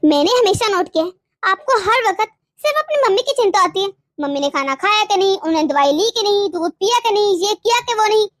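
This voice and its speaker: औरत की आवाज़ में बहुत ऊँची आवाज़ वाली रूठी पत्नी. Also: female voice, extremely high pitch, brisk. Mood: angry, hurt. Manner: dramatic, repeats words when upset. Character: young wife, jealous, wounded, sulky and controlling. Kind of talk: melodramatic domestic story acting.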